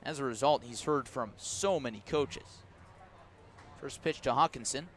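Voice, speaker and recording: An American man calling a baseball game live on the radio, describing play in measured, short clipped phrases. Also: mid-range voice. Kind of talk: live radio sports commentary.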